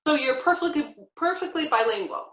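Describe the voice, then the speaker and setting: American woman, 40s, host, chatty, animated questions, roomy echo, muffled call audio. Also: high-pitched, fairly quick. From United States. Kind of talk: casual video-call interview. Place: home room with bookshelf. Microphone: video call. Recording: echoey, dull video-call audio.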